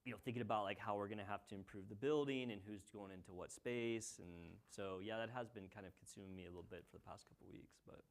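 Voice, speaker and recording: Brisk-paced man in his forties, a surgeon hosting a laid-back chat. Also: on the low side. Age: 40s. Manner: quick, rambling, many fillers. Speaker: plastic surgeon and podcast host. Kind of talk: casual chat.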